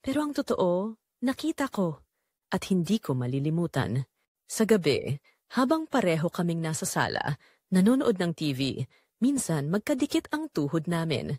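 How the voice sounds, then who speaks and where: A woman's voice: on the low side, sing-song, normal speed, pauses often, darkish tone, husky, dry recording, clean studio sound. A woman with a Filipino accent reading a scripted first-person story as the narrator of an audio drama.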